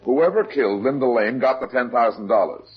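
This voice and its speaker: An actor playing a shrewd, steady private detective, terse and insistent, in a 1950s radio drama. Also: male voice, mid-range voice, a little fast, slightly rough. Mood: calm, insistent. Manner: terse, hard-boiled, insistent. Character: shrewd, steady male private detective protecting his clients. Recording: dull, muffled vintage 1950s radio recording.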